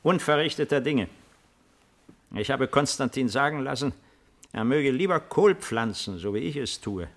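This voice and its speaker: An actor in a 1950s German radio play playing an old, weary, retired Roman emperor with a deliberate, ironic, theatrical delivery. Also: male voice, mid-range voice, animated, natural voice. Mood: animated, commanding. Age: older.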